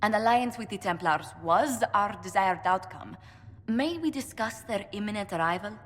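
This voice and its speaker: A woman's voice: medium pitch, animated, moderate pace, long reverb tail, natural voice. Woman acting lilting, diplomatic adviser. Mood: tactful. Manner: tactful, formal. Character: polite, diplomatic female adviser. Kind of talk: video game cutscene acting.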